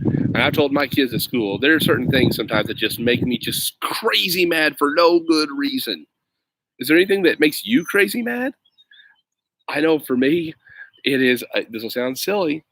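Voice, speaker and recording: A man who teaches school, talking casually to young viewers outdoors with expressive, emphatic phrasing and simple, encouraging address. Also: medium pitch, noisy background. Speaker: schoolteacher reading to children. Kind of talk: storybook read-aloud and casual chat to young viewers. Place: outdoors on a lawn.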